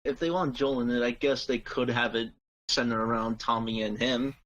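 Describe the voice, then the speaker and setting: A man's voice: medium pitch, steady tone, normal speed, a bit bright, dull audio. A man chatting casually as one of the panelists on a podcast. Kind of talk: casual group discussion.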